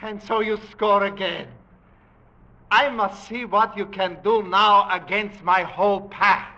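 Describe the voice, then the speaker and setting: Gloating aristocratic hunter villain, high-pitched, piercing, mockingly polite, vintage radio thriller. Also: male voice, slow, pushed and bright, exaggerated voice. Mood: gloating admiration. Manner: theatrical, mockingly courteous, taunting. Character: suave, gloating aristocratic male big-game hunter, cruelly playful villain. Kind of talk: 1940s radio drama acting. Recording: dull vintage 1940s radio recording.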